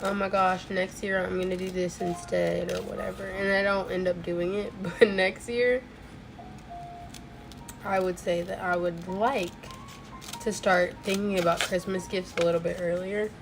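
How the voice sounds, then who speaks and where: A young woman with a lowish voice, still recovering from a cold, chats while she crafts. She rambles along with plenty of "like" fillers and easy asides. Faint music plays behind her. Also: fairly even intonation, a little slow, creaky, poor audio. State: voice a bit off from a cold. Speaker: crochet streamer. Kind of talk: casual chat while crafting. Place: home crafting setup.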